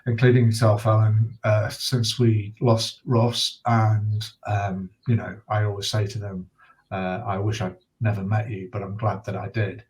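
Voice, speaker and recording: A middle-aged man speaking as an interview guest, giving serious personal testimony in a measured, halting way with deliberate pauses. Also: on the low side, little pitch movement, darkish tone, poor audio. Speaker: interview guest, bereaved father and campaigner.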